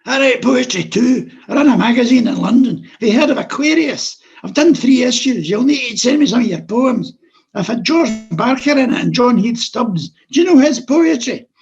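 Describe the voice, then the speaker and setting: A high, loud Scottish voice tumbling out in urgent, nervous bursts, a small anxious man near forty, as a male reader puts him on. The voice has a gravelly, husky edge. The sound is dull and lo-fi, like a video call. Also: expressive, normal speed, full character voice. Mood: eager. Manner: headlong, urgent, breathless monologue.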